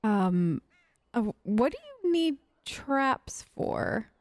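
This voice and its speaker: A woman's voice: on the high side, sing-song, extremely slow. A woman hosting a gaming video, role-playing her side of the dialogue in a chatty, wry, informal way. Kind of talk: let's play role-play, casual in-character dialogue.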